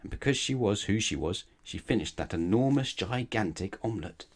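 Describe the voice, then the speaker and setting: Low-voiced man reading a bedtime story. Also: animated, a little fast. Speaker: storybook reader. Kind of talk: expressive read-aloud of a children's bedtime story.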